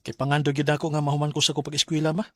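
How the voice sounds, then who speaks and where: A man's voice: fairly high pitch, completely flat delivery, very fast, heavy background noise, close to an everyday voice. A man playing an earnest, hesitant student who is smitten and dreams of law, acted in Bisaya radio drama style. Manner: hesitant, stammering. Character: earnest male student, studious, smitten aspiring lawyer. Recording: music under the dialogue.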